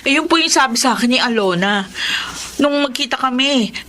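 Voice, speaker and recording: An actress playing a concerned, helpful cousin in a Tagalog radio drama, chatty and earnest. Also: female voice, high-pitched, lively intonation, fairly quick, fairly bright tone, slight background noise.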